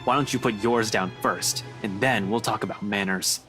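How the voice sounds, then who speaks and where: Game role, man playing terse, defiant young policeman, deeper voice with a forceful edge, faint music behind. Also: normal speed, natural voice. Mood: cold defiance. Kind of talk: video game voice acting.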